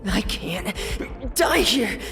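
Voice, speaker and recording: A woman's voice: mid-range voice, animated, drawn-out words, creaky, heavily acted. An actress playing a resolute young woman adventurer in a video game, anxious but determined. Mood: strained, panicked.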